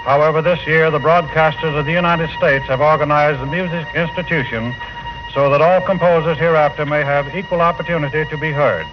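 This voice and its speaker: A man's voice: a little high, fairly even intonation, fairly quick, music in the background. A man announcing formally from a script in the style of 1940s radio. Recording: muffled old 1940 radio broadcast.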